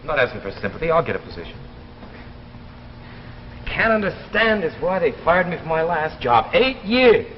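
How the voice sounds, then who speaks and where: An actor playing a frustrated, defensive out-of-work engineer with an American accent and a halting manner, in 1950s science fiction drama style. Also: male voice, a little high, very animated. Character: frustrated unemployed married engineer, defensive and hesitant. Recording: muffled, noisy 1950s broadcast recording.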